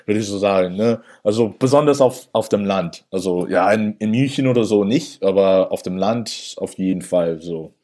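A young man from New York with an American accent, his voice sitting fairly low. He talks in German, his second language, halting and restarting often and filling gaps with "äh". It comes across as relaxed interview chat. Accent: American English. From New York, USA. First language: English. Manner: hesitant, many restarts and fillers. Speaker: interview guest.

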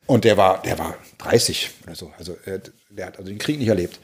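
A man in his forties from Germany, a pastor, thoughtfully telling personal stories, halting with many restarts and repeated words. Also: low-pitched, lively intonation. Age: 40s. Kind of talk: reflective personal storytelling.

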